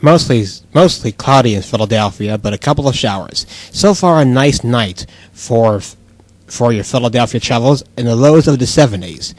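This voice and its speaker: A man playing a measured, low-key radio weather and traffic reporter. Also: lively intonation, close to an everyday voice. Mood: low-key reporting.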